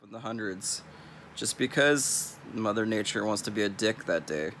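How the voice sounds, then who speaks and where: A man's voice: fairly low pitch, animated, normal speed, a bit bright, slight background noise. A young man from California with a Californian accent, rambling bluntly and swearing freely in a casual vlog. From California, US West Coast. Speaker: vlogger.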